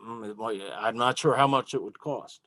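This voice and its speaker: A man's voice: medium pitch, steady tone, normal speed, a bit bright, dry recording. A man playing a tabletop role-playing game, talking casually as he works out his moves.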